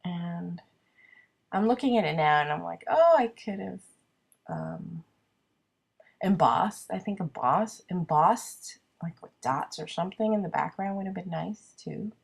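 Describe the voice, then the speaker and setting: A woman talks her way through a craft project in a relaxed, meandering way, pausing on ums and teasing herself. Her voice sits a little low and sounds husky and stuffed up from a cold. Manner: chatty, self-deprecating, thinking aloud with many ums. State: congested with a cold. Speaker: card-making crafter. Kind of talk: casual craft video narration.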